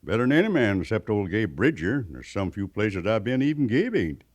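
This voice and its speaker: A man's voice: lowish voice, sing-song, a little fast, a little mellow, dry recording. An American actor playing a plainspoken, weathered mountain man scout in a western radio drama, with a folksy rustic drawl. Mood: plainspoken, confident.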